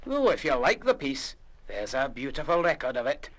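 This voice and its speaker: Lilting, animated Scottish music master. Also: male voice, medium pitch, moderate pace. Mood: coaxing, encouraging. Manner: gentle, coaxing, animated. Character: kindly, encouraging Scottish music master at boarding school. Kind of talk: full-cast radio play acting.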